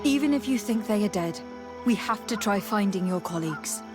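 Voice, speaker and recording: A composed, diplomatic noblewoman from a fantasy game, played by a woman, with a mid-range voice. Her delivery is measured and formal, and music plays clearly behind her. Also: mid-range voice, animated, natural voice. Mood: calm, questioning. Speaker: game character voice actor. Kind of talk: measured video game acting.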